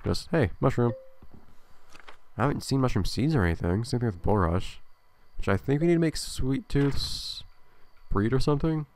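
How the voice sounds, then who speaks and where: A man's voice: mid-range voice, animated, normal speed, darkish tone. A man commentating a gameplay video casually, thinking aloud with a slightly nasal, stuffy voice. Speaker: let's play host.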